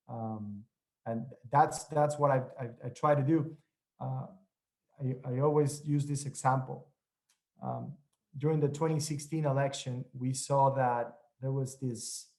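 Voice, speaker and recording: A man with a Mexican accent, a journalist on an online panel, speaking in halting sentences full of restarts. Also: medium pitch, darkish tone, poor audio. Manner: halting, many restarts and repeated words.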